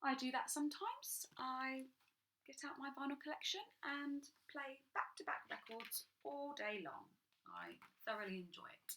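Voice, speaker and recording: A woman reading a picture book aloud to children, sing-song and animated, dropping into whispers for little asides. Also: high-pitched, a little slow, slight background noise. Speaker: children's storyteller.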